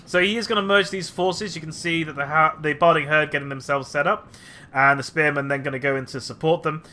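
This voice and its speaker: A man's voice: a little high, fairly even intonation, brisk, pushed and bright. A man commentating a strategy game battle replay, slightly nasal from a cold, thinking aloud with frequent fillers.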